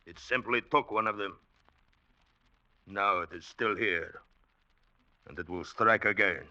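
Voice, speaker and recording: Forceful, brooding village woodsman. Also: fairly low pitch, measured pace. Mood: ominous. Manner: intense, blunt. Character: defiant, brooding village woodsman, the warden's son. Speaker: actor in a radio drama. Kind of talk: old-time radio acting. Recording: dull old radio recording.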